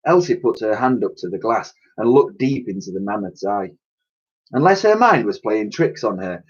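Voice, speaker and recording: A man's voice: lowish voice, husky, highs cut off. A man reading a children's story aloud like a teacher, with theatrical, suspenseful narration and emphatic sound effects spoken by mouth.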